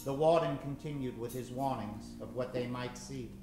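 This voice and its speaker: A man's voice: mid-range voice, normal speed. A man narrating a scripted old-time radio drama in a theatrical, dramatic manner. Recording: echoey stage recording with music.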